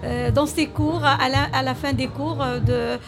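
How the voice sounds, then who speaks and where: High-voiced hesitant woman from Morocco. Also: steady tone, measured pace, vocal fry, over music. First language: Arabic. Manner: hesitant, frequent fillers. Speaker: Arabic teacher, guest. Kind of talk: radio interview answers.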